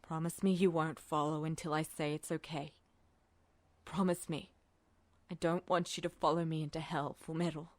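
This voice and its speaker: Audiobook role: woman playing a grave male officer, low, hushed, pleading, remorseful but resolute, recorded dry. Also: flat delivery, moderate pace, dry recording, natural voice.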